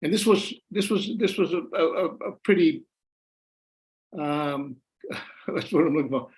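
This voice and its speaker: An older man speaks over a video call from a room at home, his voice pitched a little high for a man. He talks in halting runs, doubling back on his words and restarting before he lands the point. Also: a little mellow. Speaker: author and former journalist, guest. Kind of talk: conversational interview answers.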